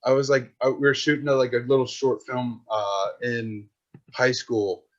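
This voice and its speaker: Young guy, 20s, loose slangy chatter peppered with filler, mid-pitched, slightly muffled lo-fi mic. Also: normal speed. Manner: rambling, slangy, full of "like" and "dude". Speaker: podcast guest, filmmaker. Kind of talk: casual chat. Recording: dull lo-fi sound.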